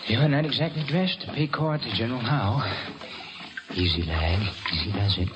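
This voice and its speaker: A man acts out a gritty young soldier at the controls of a crude submarine. He talks to himself, urging his own body on in old radio drama style. The recording is muffled and clearly noisy, with a medium-pitched voice. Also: moderate pace, lightly acted. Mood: wary, hushed urging.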